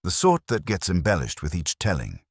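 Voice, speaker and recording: A man's voice: low voice, huge pitch swings, fairly quick, slightly rough, dry recording, clean studio sound, pitched above the performer's own voice, heavily acted. A man voicing an anxious, candid young married woman confiding in a mystery story. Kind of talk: scripted mystery story dialogue.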